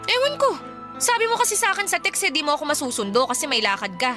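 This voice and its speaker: A woman's voice: high voice, sing-song, fast talker, music in the background. A woman acting a frustrated young working girlfriend in a radio drama, dramatic and exasperated, with a Filipino accent. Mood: annoyed. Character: frustrated young working woman nagging her live-in boyfriend.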